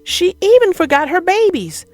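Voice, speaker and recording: A woman narrating a children's picture book as an expressive, theatrical read-aloud. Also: extremely high pitch, moderate pace, dry recording, soft background music.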